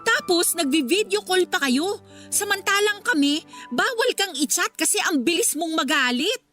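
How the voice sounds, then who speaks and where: Mapanumbat na kabit, mataas ang boses. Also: female voice, extremely high pitch, animated, moderate pace, bright, forceful tone, faint music behind, heavily acted. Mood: indignant. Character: indignant mistress, sarcastic and demanding. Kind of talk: Filipino radio drama acting.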